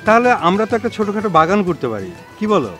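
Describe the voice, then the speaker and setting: A man's voice: sits high, sing-song, racing, fairly bright tone, soft background music. A man playing an approving boss giving instructions in a TV drama. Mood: encouraging. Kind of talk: Bangla TV drama acting.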